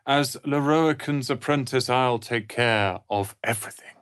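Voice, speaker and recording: Male actor as proud young male wizard, pompous, theatrical, articulate, fantasy game character, mid-pitched, dry close recording. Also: unhurried, a bit bright, clear, resonant tone, no echo, close to an everyday voice. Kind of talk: fantasy video game voice acting.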